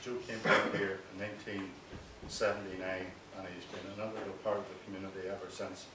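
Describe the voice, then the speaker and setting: A man giving an informal, measured introduction as host of an event, halting now and then. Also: low-pitched, flat delivery. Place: echoey indoor hall at a local presentation. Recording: very echoey, distant, loud noise.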